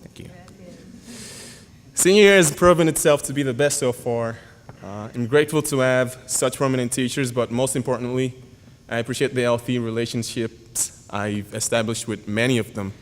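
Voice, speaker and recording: A man's voice: mid-range voice, slight background noise. Young man reading an earnest speech. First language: Yoruba. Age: young adult. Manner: earnest, formal, with halting pauses and fillers. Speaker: graduating senior giving a speech. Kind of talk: prepared speech read at a podium. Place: school auditorium. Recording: hall reverb.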